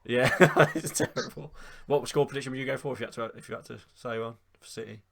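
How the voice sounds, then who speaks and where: Fast-talking man in his twenties. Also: mid-range voice, steady tone, creaky, a bit bright, a little hiss or hum. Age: 20s. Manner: chatty, quick, swears casually. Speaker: podcast host. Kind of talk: casual football chat.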